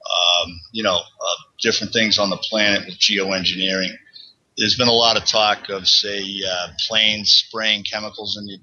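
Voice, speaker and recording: Rambling Boston man, husky and bright. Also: fairly low pitch. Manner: rambling, halting explanations full of restarts. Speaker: guest researcher. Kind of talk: casual interview talk. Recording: dull lo-fi sound.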